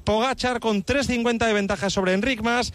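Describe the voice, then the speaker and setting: A man with a Spain accent reports on cycling for live radio. His voice is strikingly high and comes through dry. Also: steady tone, moderate pace, fairly bright tone, dry recording.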